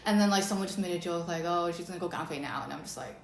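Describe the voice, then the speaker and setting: A woman with a deep voice, chatting casually and telling a story with lots of fillers as a co-host. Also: racing, echoey room, a loud crowd. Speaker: co-host of a beauty channel talk. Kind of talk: casual chat.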